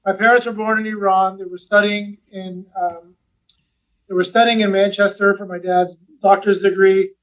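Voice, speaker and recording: A man's voice: high voice, flat delivery, normal speed, far from the mic, rough recording. A man in his forties from Los Angeles, a guest telling his story in an easygoing, chatty podcast interview. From West Los Angeles. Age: 40s. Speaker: guest, real estate investment advisor.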